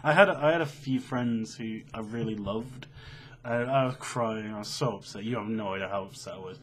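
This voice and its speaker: A young British man with a slightly husky voice, telling a personal story casually in an animated, sweary, self-mocking way. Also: on the low side, brisk. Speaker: online storyteller.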